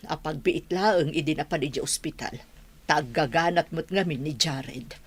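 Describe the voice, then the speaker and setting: A woman's voice: sits low, normal speed, breathy edge. A woman playing an anxious middle-aged madam in a radio comedy drama, fretful and sometimes stammering. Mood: concerned.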